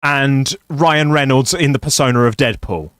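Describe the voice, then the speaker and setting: A man with a low voice hosting a casual co-op gameplay session, chatty and playful, swearing now and then. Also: normal speed, fairly bright tone, no echo, studio quality. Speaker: gaming channel host.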